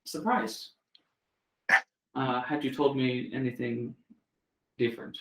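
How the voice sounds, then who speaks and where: A man voicing a wary male werewolf guard with a German accent, speaking in halting phrases in an echoey room. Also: medium pitch, fairly even intonation. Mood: stern.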